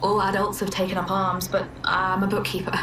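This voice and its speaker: An actress playing a despairing but stubborn bookkeeper recording a wartime audio journal in a video game, subdued, bitter and resigned. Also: female voice, mid-range voice, little pitch movement, fairly quick, recorded from a distance, background noise, close to an everyday voice. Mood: anxious, bitter.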